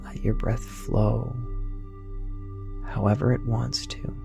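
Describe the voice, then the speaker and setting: A man narrating a guided sleep meditation in a soft, soothing, unhurried voice with long pauses. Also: mid-range voice, level tone, vocal fry. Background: meditation music with singing bowls.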